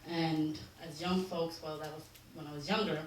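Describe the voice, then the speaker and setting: A woman in her thirties, a community organizer, talking informally to doctors with lively, laughing, self-deprecating asides. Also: deep voice, moderate pace. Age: 30s. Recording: very echoey hall audio from far off, with noise.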